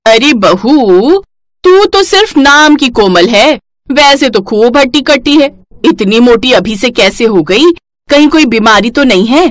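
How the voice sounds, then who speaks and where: Woman playing nosy neighbour woman, mocking, exaggerated, high shrill pitch, cartoon family story. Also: animated, measured pace, no room sound. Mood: teasing. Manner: taunting, exaggerated.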